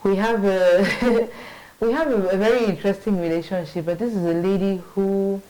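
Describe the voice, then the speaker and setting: A woman's voice: medium pitch, fairly even intonation, moderate pace, warm tone, low-quality recording. A middle-aged woman, telling her career story in an interview, thoughtful, with many restarts and "you know". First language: English. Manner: reflective, hesitant restarts, frequent "you know", laughs easily. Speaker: interview guest, marketing manager. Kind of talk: conversational interview.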